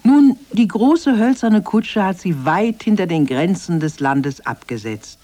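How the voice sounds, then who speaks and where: A man's voice: high-pitched, animated, unhurried, warm tone. A man narrating a scripted children's radio tale, addressing young listeners directly like a storyteller. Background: music.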